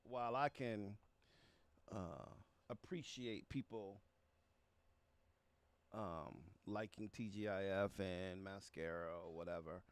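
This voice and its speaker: A man hosting a music reaction stream, chatting casually in a halting, conversational way and often tagging phrases with a check for agreement. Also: fairly low pitch, clean tone, no echo. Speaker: music reaction show host. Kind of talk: casual livestream chat with viewers about music.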